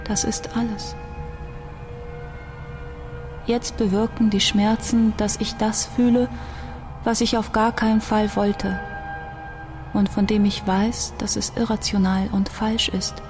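An actress plays a young woman musician facing death in a radio drama monologue. Her voice sits at a middle pitch and moves very little. She is grave, inward and bitter, with faint music around her. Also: flat delivery, slow, long pauses, natural voice. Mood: grave, reflective.

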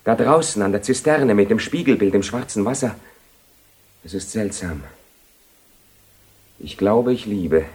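An actor playing a restless, brooding young nobleman and doubting bridegroom in a theatrical radio drama, with a husky voice. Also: fairly low pitch, lively intonation, measured pace, long pauses, darkish tone, a little hiss or hum.

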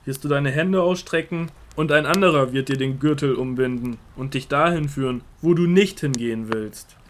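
An actor playing a calm, authoritative Jesus in a Bible audio drama, speaking in a measured, solemn and tender manner. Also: male voice, medium pitch, a little hiss or hum, close to an everyday voice. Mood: solemn, commanding. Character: risen Jesus, calm authoritative male teacher.

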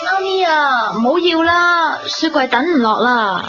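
A woman's voice: high voice, expressive, unhurried. A woman with a Hong Kong Cantonese accent playing a tender, playful young woman in love in a radio drama. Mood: light, homely. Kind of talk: 1990s radio drama acting. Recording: dull lo-fi radio recording under music.

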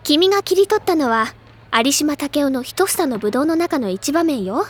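High-pitched literature-club schoolgirl, excitable and self-assured, declamatory anime drama delivery. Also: normal speed. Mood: smug, lecturing. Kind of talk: anime-style audio drama acting.

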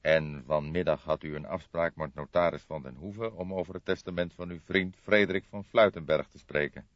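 A man voicing a dry, deliberate older police detective in an audiobook, with a measured, formal, probing way of talking. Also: deep voice, lightly acted. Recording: dull, roomy audiobook recording.

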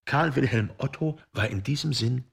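A scholarly male storyteller narrates an absurd literary radio play in measured phrases. His mid-pitched voice is husky, with plenty of creak.

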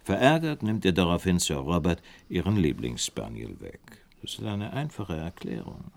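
A shrewd gentleman detective questions and reasons in a radio drama. The voice is low and very varied in melody, with a calm, analytical, probing manner. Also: moderate pace, creaky, full character voice. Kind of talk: German radio play acting.

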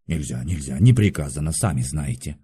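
A man's voice: very deep voice, animated, a little fast, dark tone, breathy edge, pitched below the performer's own voice, full character voice. A man acting out a curt, stubborn asylum watchman. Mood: gruffly firm. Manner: curt, admonishing.

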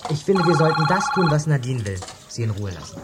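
Low-voiced, serious friend. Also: female voice, very animated, brisk, heavy background noise. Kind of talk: children's audio drama acting.

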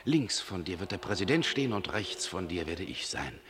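An actor playing a suave lover who soothes his darling in smooth, theatrical phrases for a German radio play. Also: sits low, very animated. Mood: seductive, grand. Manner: smooth-talking, theatrical, drawn-out endearments.

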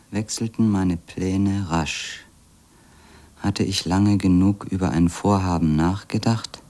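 An actor playing a brooding, wearily proud former editor who narrates a literary radio drama in a halting manner. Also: male voice, low-pitched, a little mellow. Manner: halting, repeats words, trails into murmurs. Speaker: narrator and protagonist of a radio play.

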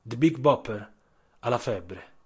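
A man with a slightly husky voice narrating a literary audio story for radio, measured, with dramatic pauses and emphasis. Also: medium pitch, expressive, darkish tone.

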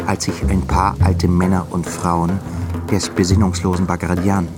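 A grave male narrator of a literary radio play. His lowish voice tells the story with intensity while music plays clearly behind him. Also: level tone, normal speed, lightly acted. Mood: grave, urgent.

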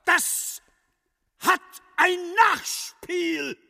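An actor in a German radio drama playing a pompous, bullying military hospital inspector who barks drawn-out commands. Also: male voice, very high voice, vocal fry, heavily acted. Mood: outraged. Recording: echoing hall reverb, shouted from afar.

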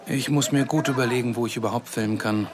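A man playing a proud, secretive, anxious filmmaker in a German radio drama, speaking in a deliberate, insistent way. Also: mid-range voice, fairly even intonation, a crowd in the background, natural voice. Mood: defensive. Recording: noisy outdoor street ambience with traffic.

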